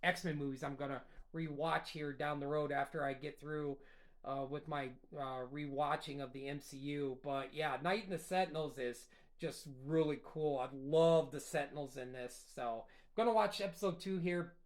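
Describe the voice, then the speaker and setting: A man's voice: on the high side, fairly even intonation, moderate pace. A man hosting a casual solo chat, talking in a rambling, enthusiastic way with lots of fillers. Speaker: host. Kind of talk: casual solo chat about a cartoon rewatch.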